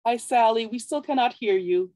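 A woman's voice: a little high, normal speed, warm tone, no echo, studio quality. A woman hosting an online open mic with brief announcements. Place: online video call.

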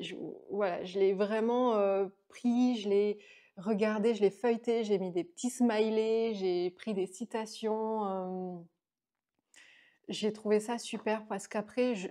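A chatty woman in her late thirties talks through her favourite books in a small room at home. Her voice is mellow and warm, and her delivery is relaxed and full of fillers and restarts. She is close on a lapel mic. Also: medium pitch. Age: 30s. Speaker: book vlogger. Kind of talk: casual book review to camera.

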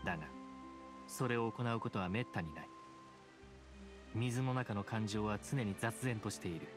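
An actor playing a dignified, aloof judge in a game, speaking in a formal, measured, reserved way. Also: male voice, on the low side, music in the background, lightly acted. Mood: calm, reflective. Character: solemn, dignified supreme judge, an aloof grown man. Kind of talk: Japanese game voice acting.

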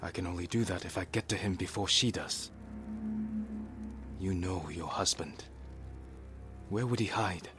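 A man playing a stoic, earnest young samurai in a video game, speaking in a measured, firm way. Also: low voice. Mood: firm, persuasive. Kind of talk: video game drama acting. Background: faint game music.

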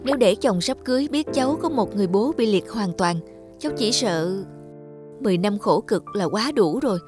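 Actress playing a sorrowful, gentle young woman over music. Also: medium pitch, animated, fairly quick, close to an everyday voice. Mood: worried, resigned. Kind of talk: cartoon drama acting with reflective narration.

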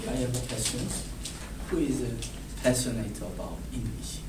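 A man in his thirties with a Korean accent, a native Korean speaker reading a prepared class speech, halting and restarting words. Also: medium pitch. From South Korea. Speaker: student giving a speech. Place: classroom in front of a whiteboard. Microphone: far camera. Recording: roomy, distant, noisy classroom audio.

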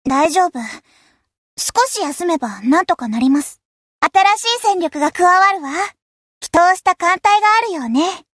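A woman voicing a proud, confident young warship girl in an anime game, with a brisk, haughty manner. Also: extremely high pitch, expressive, a bit bright, no room sound, clean studio sound, exaggerated voice. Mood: confident, eager. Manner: brisk, self-assured, haughty. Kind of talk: anime game character voice lines.

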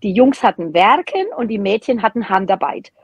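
Husky middle-aged lady, chatty and candid, very dry close sound. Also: medium pitch, expressive, normal speed, dry recording, muffled recording. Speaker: podcast guest, teacher. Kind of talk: casual chat. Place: small room at home, indoors.

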